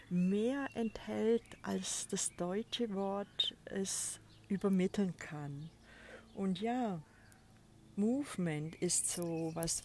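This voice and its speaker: A woman hosts her spiritual podcast from an outdoor balcony, speaking in a soft, often breathy way. She talks very unhurriedly and lets pauses sit. Birdsong and a faint hiss of outdoor noise drift behind her voice. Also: mid-range voice. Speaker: podcast host. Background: birds and a crow.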